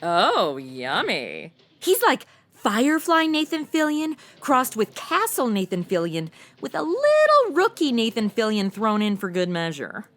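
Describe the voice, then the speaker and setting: A woman's voice: a little high, a little slow, heavily acted. A woman playing a brash, irreverent, flirty modern sidekick in a comedy audio drama, flippant and full of wisecracks. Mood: flirty, smitten. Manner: flippant, sassy, deadpan wisecracks.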